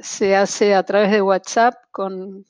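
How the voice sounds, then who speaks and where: A woman's voice: medium pitch, flat delivery, slow, clean tone, no room sound. A woman from Argentina with an Argentine accent, a guest in an interview, speaking with many fillers and restarts. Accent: Argentine Spanish. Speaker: guest, translation-tool specialist. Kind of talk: interview over video call.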